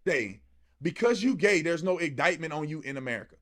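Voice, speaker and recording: A man's voice: on the high side, expressive, brisk, a bit bright. A man delivering emphatic political commentary in a colloquial way, putting rhetorical questions to the listener.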